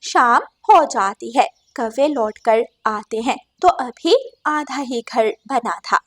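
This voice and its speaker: A woman's voice: on the high side, slow pace. A woman reading scripted storytelling narration for a cartoon as its narrator.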